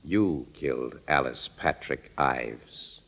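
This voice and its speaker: A man's voice: very deep voice, takes it slowly, vocal fry, a bit bright, breathy edge, heavily acted. An actor playing a shrewd young crime-solving newspaperman in an old-time radio drama, wry and probing, with hesitant phrasing. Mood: accusing, assured.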